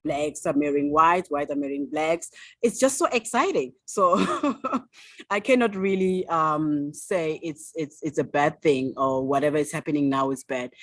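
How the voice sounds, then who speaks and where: A woman's voice: deep voice, no room sound. A South African woman in her twenties, an author talking enthusiastically in an interview. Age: 20s. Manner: enthusiastic, upbeat, laughs easily. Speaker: author guest. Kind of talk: casual interview chat.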